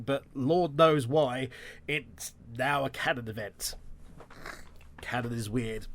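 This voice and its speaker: A man hosts his podcast alone, his voice nasal and bunged up from a lingering cold. He talks with sarcastic asides and a lively, swooping delivery. His pitch sits a little on the high side. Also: dry recording. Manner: sarcastic, animated, wry asides. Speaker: podcast host. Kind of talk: solo podcast commentary.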